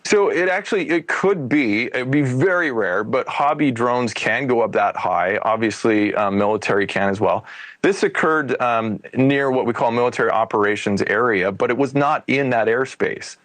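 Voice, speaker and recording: A man's voice: medium pitch, lively intonation, moderate pace, a bit bright, no room sound. An American man speaking as an expert guest, explaining a case in a conversational interview. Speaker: expert guest, UFO investigator and former federal agent.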